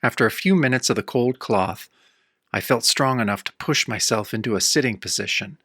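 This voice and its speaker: A man's voice: mid-range voice, brisk, a bit bright, no echo, studio quality. An actor playing a battered, wry tough-guy hero, narrating his own pulp horror tale in a radio-style audio drama. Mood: wry, weary. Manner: wry, hard-boiled first-person storytelling.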